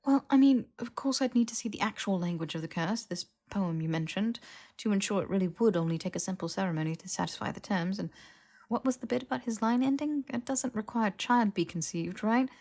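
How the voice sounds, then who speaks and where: A woman's voice: medium pitch, a little fast, darkish tone, close to an everyday voice. A woman voicing a sensible, bookish young witch with a precise, scolding, exasperated manner in an audiobook. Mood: analytical. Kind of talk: fiction audiobook character voice.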